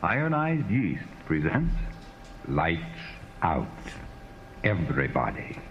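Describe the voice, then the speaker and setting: A man's voice: low voice, wildly swooping pitch, slow pace, lo-fi sound, full character voice. A man playing an ominous, grave announcer in the style of 1940s horror radio. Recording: band-limited vintage radio sound, loud music.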